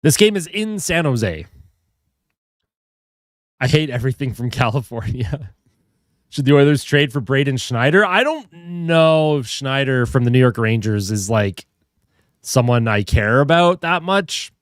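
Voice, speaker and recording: A young man hosts a casual live stream with a stuffed-up voice from a head cold, sounding a little tired as he chats with viewers. His pitch sits in a middle range for a man. The sound is dry and close, with no room echo. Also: lively intonation, measured pace, pauses often. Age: young adult. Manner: chatty, reads and greets viewer comments. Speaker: hockey streamer.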